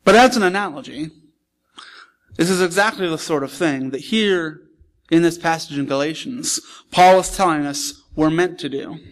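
A man's voice: on the high side, normal speed. A man preaching a sermon in a church, explaining scripture in a conversational, plainspoken way. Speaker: pastor. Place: church sanctuary.

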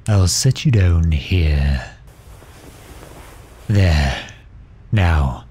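A man playing a commanding, protective villain in a roleplay audio drama, formal and stern, with a husky, breathy voice. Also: low voice, dark tone, slight background noise. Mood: urgent, commanding. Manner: formal, stern, halting when flustered. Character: protective, commanding male villain fretting over injured hero. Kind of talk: scripted roleplay audio drama in ASMR style.

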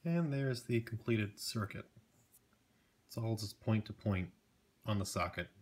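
Guy w a lowish voice showing a hobby project at his bench, informal, just talking it thru. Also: measured pace, slight background noise. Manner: informal, matter-of-fact, unscripted. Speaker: hobbyist presenter. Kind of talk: casual workbench demonstration. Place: indoor electronics workbench.

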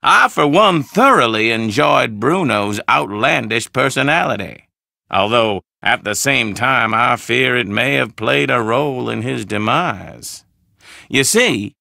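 A creaky, courtly old Southern gentleman. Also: mid-range voice, dry recording, clean studio sound, heavily acted. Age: older. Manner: florid, courtly, drawn-out phrasing. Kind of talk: video game character dialogue.

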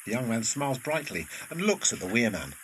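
A man's voice: mid-range voice, sing-song, a little fast, a bit bright, slight background noise, rough recording. A man reading scripted stage directions as narrator of an audio drama.

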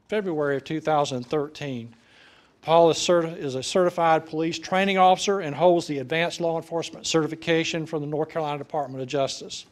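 An older man, speaking at a public ceremony in a folksy way as the presiding official. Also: a little high. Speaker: official presenting a promotion and administering an oath. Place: large indoor council chamber with audience. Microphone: stand microphone, sometimes stepping away.

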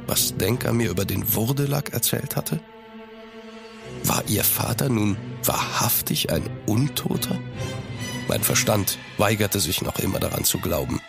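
An actor in a German horror radio play as a sceptical gentleman traveller, measured and grave. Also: on the low side, gravelly. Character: sceptical gentleman traveller recounting a dark tale. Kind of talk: scripted first-person radio drama narration.

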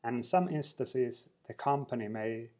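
A male trainer talks through a slide presentation at a middle pitch. His delivery is unscripted and loose, full of restarts and fillers as he works through each point. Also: moderate pace, mellow.

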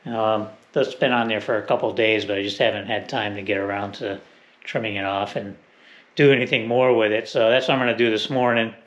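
A man's voice: fairly low pitch, fairly even intonation, brisk. Matter-of-fact man in his fifties. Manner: plain, matter-of-fact explaining with fillers. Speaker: boat restorer. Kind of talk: casual workshop narration. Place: indoor workshop garage.

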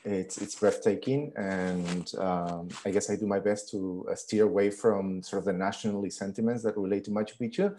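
Man, 30s, from Peru, lecturer; low warm voice, hesitant restarts, video call headset. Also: steady tone, moderate pace, few pauses, slight background noise. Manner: hesitant, many fillers and restarts. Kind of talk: online lecture. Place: living room at home.

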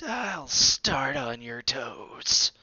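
A man voicing a stubborn, suspicious young girl bunny in a storybook, shouting her lines emphatically. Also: very high-pitched, wildly swooping pitch, a little slow, no echo, voice pushed up, exaggerated voice. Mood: menacing. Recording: slightly muffled recording.

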